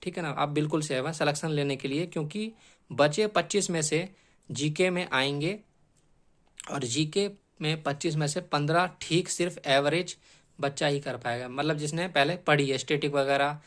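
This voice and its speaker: Higher-pitched man coaching exam students. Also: normal speed, fairly bright tone. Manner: repetitive and emphatic, often checking with short tag questions. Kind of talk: explanatory exam-strategy lecture.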